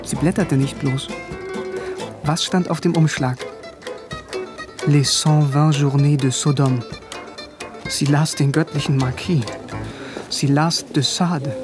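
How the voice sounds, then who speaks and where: A man's voice: on the high side, fairly even intonation, takes it slowly, pauses often. A man giving wry, reflective first-person literary narration. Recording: music under voice.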